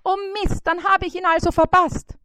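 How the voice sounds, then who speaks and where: A woman's voice: very high-pitched, flat delivery, normal speed, sharp tone, dry recording, voice pushed up. A woman voicing a whiny, demanding male fly caught in a spider's web, who nags shrilly and dramatically. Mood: self-important.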